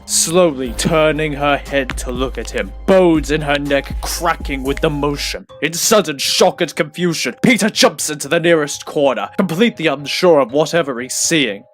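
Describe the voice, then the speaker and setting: A man narrating a superhero audio drama in a theatrical, suspenseful storytelling style. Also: on the high side.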